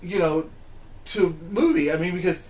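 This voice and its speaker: A man's voice: sits high, animated, moderate pace, a little mellow. A man hosting a casual discussion in an informal, opinionated way. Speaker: podcast host. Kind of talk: casual discussion of video game movies. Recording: roomy, echoing room sound.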